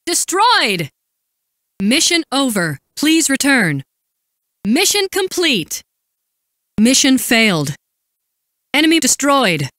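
An actress playing a composed, dutiful woman military supply officer in a video game, giving crisp, formal, commanding briefings and callouts. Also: high-pitched, pushed and bright, no room sound, studio quality, heavily acted. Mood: urgent, then businesslike, alert.

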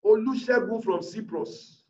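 A man's voice: sits high, unhurried. A man preaching as a pastor, giving emphatic, repetitive prayer declarations over a video call. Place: indoors, online video call. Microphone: video call device mic. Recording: roomy, distant, muffled video call.

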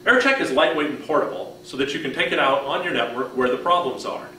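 A man's voice: medium pitch, quick pace, bright, forceful tone, reverberant, a little hiss or hum. A man in his fifties with an American accent gives a scripted product demonstration in a friendly, instructional way. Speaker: product presenter.

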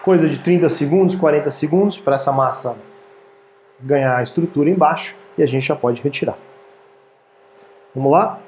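Mellow-voiced man hosting a cooking show. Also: a little high, normal speed, pauses often, a little hiss or hum. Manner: friendly, chatty, keeps asking "tá, gente?". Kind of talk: casual cooking demonstration. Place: small home-style studio kitchen, indoors.

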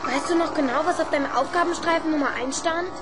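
Very high-pitched eager schoolboy played by a woman. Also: quick pace, loud music behind the voice, lightly acted. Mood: worried. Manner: eager, inquisitive. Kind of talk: 1970s children's radio play acting.

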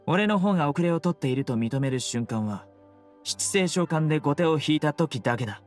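A man voicing a stoic, proud young guardian in an anime game, terse, serious and deadpan. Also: fairly high pitch, brisk, faint music behind, natural voice. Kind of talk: Japanese anime game voice acting.

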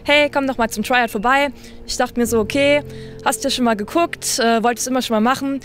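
A bubbly woman in her early twenties chats with a slightly high voice. Faint music sits behind her. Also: steady tone, a little fast. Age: 20s. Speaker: amateur football player. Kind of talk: casual interview answers.

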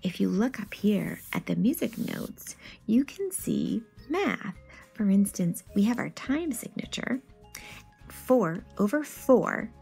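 Woman story reader, very varied intonation, husky, explanatory, faint music behind. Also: medium pitch, takes it slowly. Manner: expressive, explanatory. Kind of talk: picture book read-aloud with explanatory asides.

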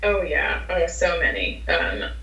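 Young woman, softened Philly accent, chatty and upbeat, echoey room, dull earbud mic sound. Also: sits low, very animated, slow, creaky. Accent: American, softened Philadelphia. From Philadelphia, USA. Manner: chatty and upbeat, gestures while talking. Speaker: singer-songwriter guest. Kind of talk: casual interview chat. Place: indoor room at home. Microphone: wireless earbuds on a video call. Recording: roomy, distant, dull video-call audio.

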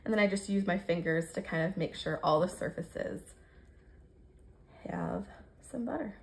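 An American woman in her thirties with a creaky voice, chattily narrating her cooking step by step in a casual, informal way. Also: fairly low pitch, unhurried. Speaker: home cook vlogger. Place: home kitchen, indoors.

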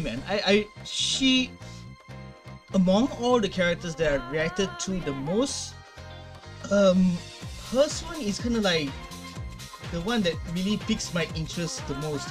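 A young adult man giving casual, unscripted reaction commentary, often halting and repeating words, on a headset mic in a room at home. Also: a little high. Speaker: reaction video host. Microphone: gaming headset mic.

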